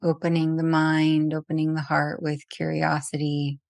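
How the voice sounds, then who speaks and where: A woman leading a guided meditation as a teacher, speaking gently and unhurriedly with long pauses. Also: sits low, completely flat delivery, vocal fry, no room sound, studio quality.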